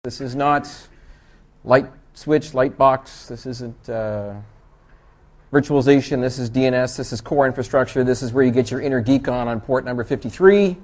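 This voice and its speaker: A man's voice: medium pitch, moderate pace, darkish tone. A man with a Canadian accent from the East Coast giving a chatty, joking conference talk full of rambling, self-deprecating asides. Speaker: conference presenter.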